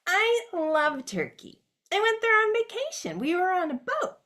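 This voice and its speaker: A woman's voice: extremely high pitch, sing-song, unhurried, sharp tone. A woman performing a reflective autobiographical monologue on stage, speaking directly to her grandfather.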